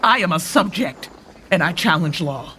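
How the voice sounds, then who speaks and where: A woman's voice: fairly low pitch, lively intonation, moderate pace, a little hiss or hum. A woman playing a defiant, declamatory noble claimant in a Shakespearean radio drama. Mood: defiant, indignant. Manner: forceful, declamatory. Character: defiant noble claimant demanding a stolen inheritance.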